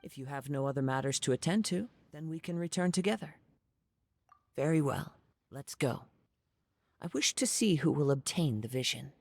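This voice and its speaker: An actor playing a serene young wandering samurai in an anime-style video game, soft-spoken, formal and measured. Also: male voice, medium pitch, expressive, vocal fry, no echo. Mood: calm.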